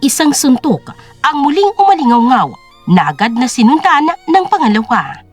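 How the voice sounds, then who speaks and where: High, lively woman narrator. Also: moderate pace, faint music behind. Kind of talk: dramatic scripted story narration.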